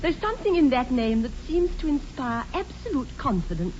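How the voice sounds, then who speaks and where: A sweet, romantic young lady of the English upper class, speaks in a high voice with very lively melody. She is genteel, precise and sure of herself. It is 1940s radio comedy on a dull old recording. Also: normal speed, a little hiss or hum. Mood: dreamy, earnest. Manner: genteel, precise, artless delivery.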